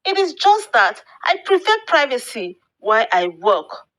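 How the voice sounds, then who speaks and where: A woman's voice: a little high, big pitch swings, measured pace, a bit bright. A woman voicing a guarded salon owner with secret magic in a folktale, firm in manner. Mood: forced calm.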